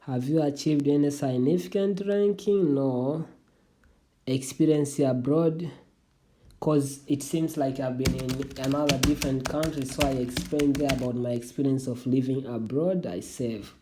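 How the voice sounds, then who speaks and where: A young adult speaker whose first language is Swahili, giving a halting, casual walkthrough of an online application, with many restarts and calling viewers "family". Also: mid-range voice, steady tone, dark tone, poor audio. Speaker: tutorial vlogger.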